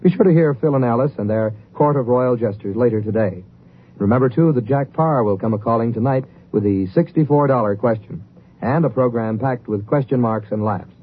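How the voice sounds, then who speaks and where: A male 1950s network announcer delivers formal, polished promotional copy. His voice is medium in pitch. The broadcast sound is narrow, with faint noise. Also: brisk.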